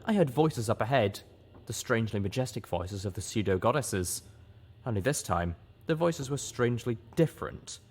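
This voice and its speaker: A man narrating a fan-written novel aloud as an audiobook, in a steady, earnest reading. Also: mid-range voice, normal speed, breathy edge. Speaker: audiobook reader.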